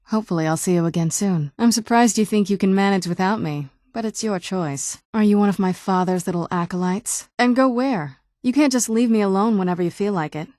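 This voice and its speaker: A woman's voice: mid-range voice, fairly quick, hardly any pauses, a little mellow, no room sound, natural voice. A woman playing a guarded, wry vampire noblewoman in a fantasy video game, talking in a dry, understated, conversational way. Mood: teasing, headstrong. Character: guarded, wry ancient vampire noblewoman who looks young. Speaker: adventuring companion character in a fantasy video game. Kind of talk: video game dialogue acting.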